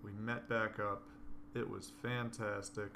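A man with a raspy, hoarse voice chatting casually and dryly. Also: lowish voice, level tone, unhurried, sharp tone, slight background noise. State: hoarse from coughing. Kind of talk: casual chat.

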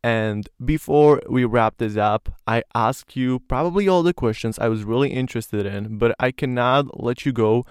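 A man's voice: mid-range voice, normal speed, hardly any pauses, smooth, no echo, clean studio sound. A young man from Slovakia, a native Slovak speaker, hosting a casual podcast interview in an earnest manner full of "like" fillers. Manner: earnest, many "like" fillers, admiring. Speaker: podcast host.

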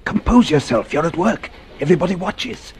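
An actor playing a man's sardonic inner voice in a radio drama, confiding, urgent and scolding, with a gravelly edge. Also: high-pitched, animated, a little fast, slight background noise. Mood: urgent warning. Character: sardonic inner voice of a fearful man, warning and scolding.

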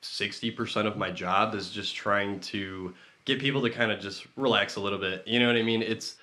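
A man's voice: on the low side, steady tone, moderate pace, sharp tone. A man who runs a tire shop, guesting on a casual podcast and explaining his work in a friendly, practical way.

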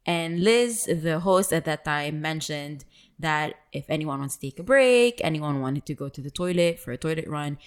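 A woman's voice: deep voice, lively intonation, normal speed, clean tone. A woman telling stories in a casual, candid and playful way, acting out bits of quoted dialogue. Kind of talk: casual conversational storytelling.

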